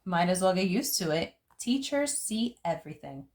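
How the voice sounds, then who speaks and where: A woman voicing a patient, encouraging elementary school teacher from a picture book, speaking gently. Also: fairly low pitch, big pitch swings, normal speed, a little hiss or hum. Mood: amused.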